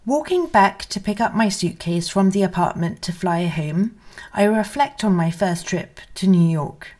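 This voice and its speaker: A woman's voice: mid-range voice, lively intonation, a little slow, a little mellow. A woman narrating a first-person memoir as a scripted literary reading. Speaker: reader of memoir excerpts.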